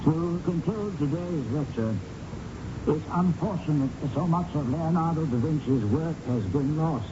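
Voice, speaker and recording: An actor playing an authoritative, scholarly male professor in a radio drama, speaking formally and deliberately. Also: fairly high pitch, natural voice. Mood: measured, authoritative. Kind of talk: radio drama acting, lecturing. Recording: muffled, noisy old radio recording.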